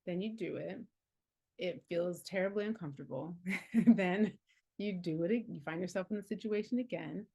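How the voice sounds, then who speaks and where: A woman in her early forties speaks in a casual, reflective way, sitting a little low in her register. She sounds earnest and encouraging, laughs readily, and leans on "like" as she talks about healing. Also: normal speed, mellow, husky. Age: 40s. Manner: earnest and encouraging, laughs often, many "like" fillers. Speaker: herbalist and healing coach.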